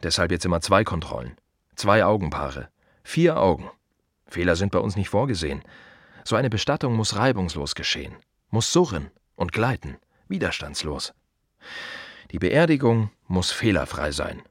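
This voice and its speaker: An actor playing a plain-spoken, wry gravedigger in a radio play monologue, confiding in short halting phrases with dry irony. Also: male voice, low-pitched, sing-song, breathy edge, no echo, heavily acted. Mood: earnest, insistent.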